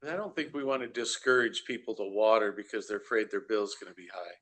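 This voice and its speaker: A man's voice: lowish voice, fast talker, a bit bright. A man on a city council talking in a folksy, rambling way, often repeating and restarting. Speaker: council member. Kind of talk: council workshop discussion.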